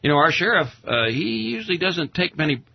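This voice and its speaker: A man speaking as a guest in an informal radio discussion, in a measured conversational way. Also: on the high side, very animated, creaky, no echo. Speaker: interview guest, justice of the peace. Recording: dull, dry lo-fi radio sound.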